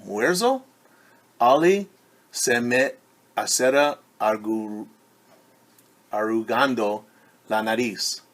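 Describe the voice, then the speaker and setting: Man reading a children's story, unhurried. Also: medium pitch. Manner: stumbles over and corrects words when reading Spanish; wonders aloud and explains to young listeners. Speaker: teacher reading a picture book aloud. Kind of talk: bilingual story time with commentary.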